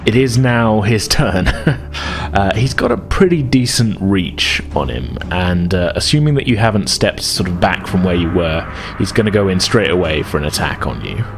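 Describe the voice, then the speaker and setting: A man's voice: low-pitched, sing-song, moderate pace, over music. A man acting as game master, narrating a tabletop game in an improvised, hesitant, conversational way.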